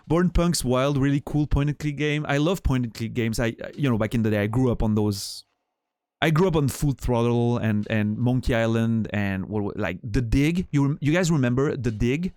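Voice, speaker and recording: A man's voice: mid-range voice, moderate pace, darkish tone, dry recording, clean studio sound. A man from Switzerland with a Swiss French accent, a native French speaker, chatting casually with his stream viewers in a rambling, profane way full of restarts.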